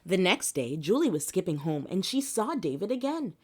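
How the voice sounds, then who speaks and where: A woman reading a children's picture book aloud in an animated, theatrical, expressive way. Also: lowish voice, moderate pace. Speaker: children's story reader.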